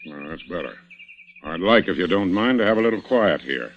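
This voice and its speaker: Shrewd bossy police inspector in an old radio mystery, lowish voice, dry n deliberate, muffled hissy recording. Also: male voice, expressive. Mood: dry, probing. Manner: deliberate, probing, sardonic. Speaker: radio actor.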